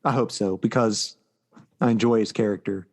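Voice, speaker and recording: A man's voice: lowish voice, fairly even intonation, moderate pace, husky. An American man co-hosting a podcast, chatting casually and with enthusiasm about pop culture. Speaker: podcast co-host.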